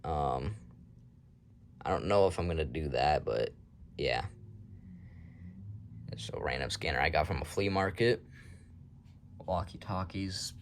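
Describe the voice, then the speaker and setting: Low-voiced man, offhand and rambling. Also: expressive, measured pace, long pauses, slight background noise. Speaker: hobbyist showing a radio collection. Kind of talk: casual unscripted show-and-tell.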